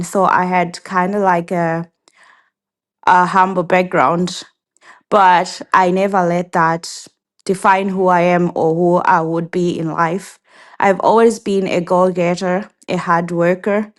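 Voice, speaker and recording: A woman in her thirties from Zimbabwe, answering interview questions in a reflective way with frequent pauses and restarts. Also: fairly low pitch. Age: 30s. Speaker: guest.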